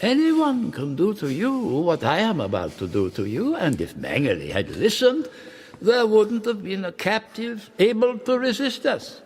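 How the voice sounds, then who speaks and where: An actor in a radio drama playing a cold, courteous, sadistic older torturer, speaking in measured, pedantic phrases with menacing pauses. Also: male voice, high-pitched, sing-song, husky, full character voice. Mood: coldly explaining.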